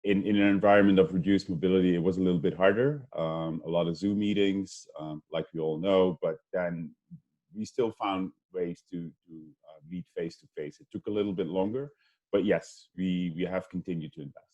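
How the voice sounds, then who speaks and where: Belgian-born investor, low mellow voice, halting delivery on a video call. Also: male voice, steady tone, dull audio. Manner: hesitant, many ums and restarts. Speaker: investment fund co-founder. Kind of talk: webinar panel talk.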